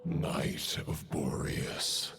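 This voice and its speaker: An actor playing a proud, grim fallen knight in a video game, with grandiose, formal and archaic speech. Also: male voice, fairly bright tone. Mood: solemn resolve. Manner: grandiose, formal, slow declamation. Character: grim, proud fallen knight serving dark powers, solemn male. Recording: music behind the voice. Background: game music.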